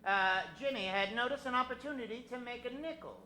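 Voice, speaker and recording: A woman's voice: a little high, brisk, pushed and bright. A woman narrator telling an improvised story in old-time radio style. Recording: roomy, faint music behind.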